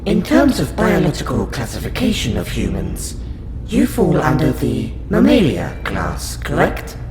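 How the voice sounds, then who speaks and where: A man's voice: medium pitch, huge pitch swings, background noise. A man voicing a polite, patient alien researcher in an audio roleplay, speaking formally and precisely to a human. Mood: pleased, inquisitive. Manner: formal, precise, courteous, measured. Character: polite, patient male alien scientist abductor interviewing a human. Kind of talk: audio roleplay addressed to the listener. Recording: roomy echo, loud background music bed. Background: music.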